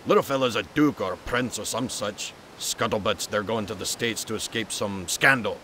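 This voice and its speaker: A man's voice: lowish voice, normal speed, sharp tone, a little hiss or hum. A man voicing a jovial, bawdy older American uncle in a motion comic, with hearty, slangy, folksy talk. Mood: folksy, gossipy. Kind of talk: dramatic motion-comic voice acting.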